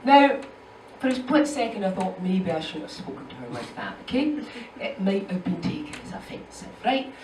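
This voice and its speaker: A woman's voice: mid-range voice, sing-song, normal speed, crowd noise. Young Scottish woman, stand-up, chatty asides, heard from a distance in a roomy club. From Scotland. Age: young adult. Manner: chatty, lots of "you know" and "right?" tags. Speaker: stand-up comedian. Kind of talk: stand-up comedy set. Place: comedy club stage.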